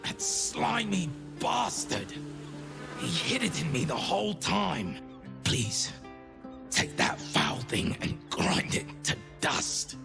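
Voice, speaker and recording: Scared bitter zombie servant from a video game, super croaky voice, pitched kinda high. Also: male voice, big pitch swings, over music, full character voice. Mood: horrified, desperate. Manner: halting, anxious, emotional delivery. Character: frightened, bitter undead zombie servant man, pleading for life. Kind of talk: video game dramatic acting.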